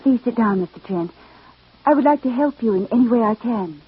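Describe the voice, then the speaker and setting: Husky, reserved genteel widow. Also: female voice, a little high, expressive, brisk. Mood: composed, sorrowful. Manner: formal, guarded, restrained. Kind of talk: 1940s-50s radio acting. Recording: tinny, phone-like old radio recording.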